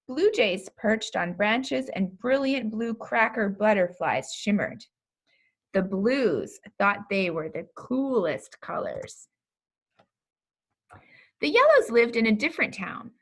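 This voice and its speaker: A woman reading a children's story aloud in an expressive, deliberate storytelling manner. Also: a little high. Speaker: pastor reading a children's book.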